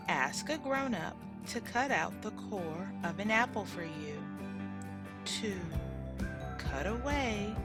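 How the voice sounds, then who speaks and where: A woman teacher reading a children's storybook aloud, enunciating deliberately for young listeners. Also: mid-range voice. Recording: loud music behind the voice. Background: music.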